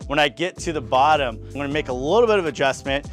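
Man, 30s, slightly high voice, casual upbeat tutorial, outdoor porch workshop, faint background music. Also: fast. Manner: upbeat, chatty, says guys and man a lot. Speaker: fitness coach building gym gear.

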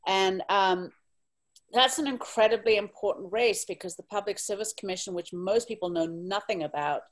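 A woman's voice: mid-range voice, moderate pace. A woman from Zimbabwe speaking on a panel, candid and impassioned, blunt in her opinions. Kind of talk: panel discussion.

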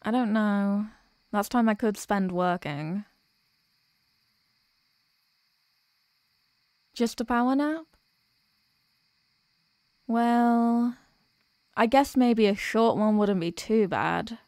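Slow, sweet, sleepy girlfriend played by a woman. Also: on the high side, darkish tone, no echo, clean studio sound. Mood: flustered, reluctant. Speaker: audio roleplay performer. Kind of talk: ASMR girlfriend roleplay acting.

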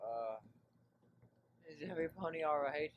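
Woman as a low-voiced, cautious cartoon pony. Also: moderate pace, highs cut off, slight background noise, close to an everyday voice. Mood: uneasy.

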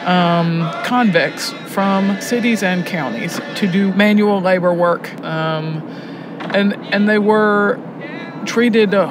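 A woman talks candidly and reflectively, thoughtful and deliberate, pausing as she goes. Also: fairly low pitch. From Alabama, United States. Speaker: prison poetry teacher and program head. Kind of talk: candid, reflective interview talk while driving. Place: inside a moving car. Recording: clear vehicle and road noise.

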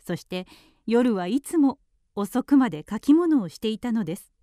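A woman's voice: on the high side, very animated, normal speed, dark tone, no echo, clean studio sound. A woman playing a devoted female canary in an audio drama, recounting her life politely and with wistful feeling.

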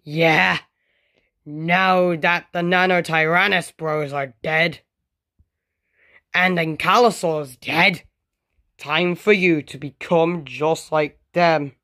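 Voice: mid-range voice, steady tone, takes it slowly, pauses often, pushed and bright. A performer voicing a murderous prehistoric crocodile monster in a homemade dinosaur story, menacing, gloating and taunting. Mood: gloating menace.

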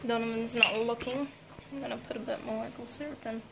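A young woman chats through a home cooking demo with playful, self-mocking asides. Her voice sits a little high. She is filmed up close in a small kitchen at night, and pots, dishes and cutlery clatter loudly behind her. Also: steady tone, fairly quick, vocal fry. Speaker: cooking vlog host. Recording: noisy home kitchen recording. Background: loud clatter of pots, cutlery and dishes.

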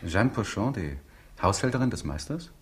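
An actor in a 1960s radio crime drama playing an affectionate, attentive fiancé in a conversational manner. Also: male voice, fairly low pitch, very animated, normal speed.

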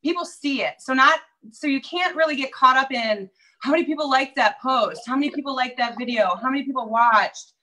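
A woman's voice: sits high, brisk, bright, forceful tone, rough recording. A woman with a Minnesota accent, a real estate agent chatting upbeat as a guest over a video call. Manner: chatty, upbeat, many fillers. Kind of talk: casual interview chat.

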